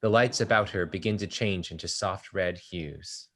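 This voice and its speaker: A man's voice: sits low, normal speed, a bit bright. A man reading stage directions aloud in a plain, matter-of-fact way during a play table read.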